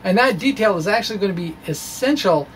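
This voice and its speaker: A middle-aged American man giving an informal physics lecture in a casual, halting way with thinking pauses. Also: high-pitched, expressive, fairly bright tone, slight background noise. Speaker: physics video blogger. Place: small cluttered indoor room with bookshelves. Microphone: microphone on a stand in front of him.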